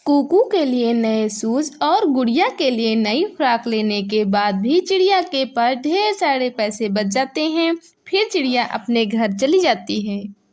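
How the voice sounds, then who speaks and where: A woman narrating a scripted moral story for children. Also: fairly high pitch.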